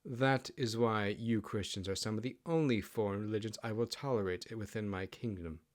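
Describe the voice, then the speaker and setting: A proud, imperious kitsune queen, read by a man with a fairly low voice. She speaks formally and haughtily, a huffy, greedy royal from a fantasy audiobook. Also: moderate pace, natural voice. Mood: stern, huffy.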